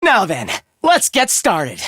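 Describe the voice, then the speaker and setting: Casting: courteous youthful game fighter, eager helper, husky, unhurried, very expressive. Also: male voice, high-pitched, no echo, studio quality, exaggerated voice. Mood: composed, grateful. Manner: formal, courteous phrasing. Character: polite, earnest young male fighter, eager to support. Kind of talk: video game battle lines.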